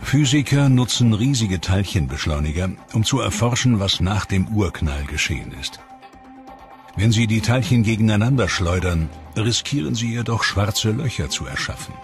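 A man with a low voice narrates a science documentary in a measured, ominous tone. He speaks as a scripted studio voice-over. Loud music runs beneath his lines. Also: lively intonation.

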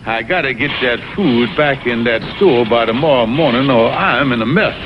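A man's voice: mid-range voice, moderate pace, music in the background, close to an everyday voice. An actor playing a blustering, scheming colonel in a vintage animal cartoon, pompous and oratorical in his arguing. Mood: nervous, scheming. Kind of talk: vintage cartoon sitcom acting. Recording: muffled, noisy vintage TV cartoon soundtrack.

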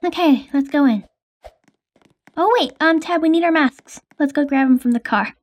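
Young woman narrating a game, high voice. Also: normal speed. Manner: hesitant, lots of ums. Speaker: roleplay game host. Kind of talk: casual game roleplay narration.

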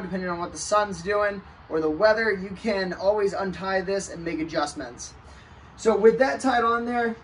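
Relaxed man explaining a kayak rig. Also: high voice, slight background noise, low-quality recording. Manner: relaxed, step-by-step explaining while working with his hands. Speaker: kayak fishing channel host. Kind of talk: casual how-to demonstration. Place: outdoors on a house porch.

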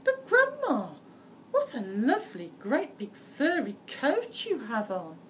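A woman's voice: high-pitched, huge pitch swings, takes it slowly, distant mic, slight background noise, voice pushed up, exaggerated voice. A woman voicing a cheeky, wide-eyed little girl in a storybook, exclaiming with animation. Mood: wide-eyed wonder.

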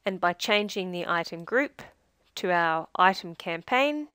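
A woman reading a scripted software tutorial as demo narrator, in a measured, instructional manner with phrase endings that trail down. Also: on the low side, expressive, smooth, no room sound, clean studio sound.

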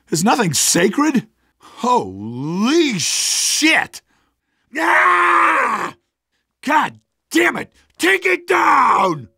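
Gritty, high-strung resistance fighter. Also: male voice, creaky, bright, forceful tone, breathy edge, no echo, studio quality, heavily acted. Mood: tense, commanding, then fired up. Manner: urgent, emphatic. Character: gritty male resistance fighter rallying troops under attack. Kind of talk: video game battle barks.

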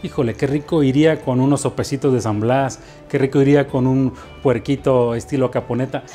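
A man's voice: mid-range voice, steady tone, fairly quick, dark tone. A man with a Mexican accent, presenting a culinary travel show as a chef and host. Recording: music under the voice. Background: background music.

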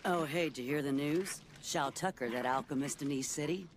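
Low, taunting androgynous monster, voiced by a woman. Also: brisk, a little hiss or hum, lightly acted. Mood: mocking, playful. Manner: taunting, playful, sneering. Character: mocking, cruel, androgynous shapeshifting monster, youthful. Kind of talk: anime dub. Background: loud music.

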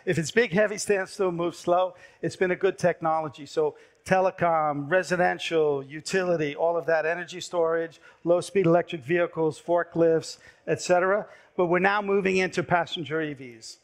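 A man in his fifties giving a brisk, persuasive startup pitch on a large conference stage through a headset mic, his voice going hoarse. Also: steady tone. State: losing his voice.

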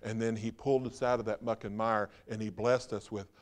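A man preaching a Sunday sermon as pastor, firing rhetorical questions, then dropping to a hushed, slow voice for emphasis. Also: on the low side, steady tone. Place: church sanctuary.

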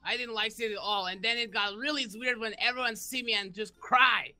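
Very high goofy dinosaur. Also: male voice, steady tone, brisk, a crowd in the background. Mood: bewildered. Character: goofy, simple-minded male purple dinosaur. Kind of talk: cartoonish gaming roleplay acting.

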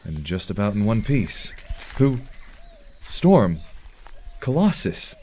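A man's voice: lowish voice, sing-song, takes it slowly, warm tone, slight background noise. A man playing a terse, commanding mutant team leader in an audio drama. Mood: relieved.

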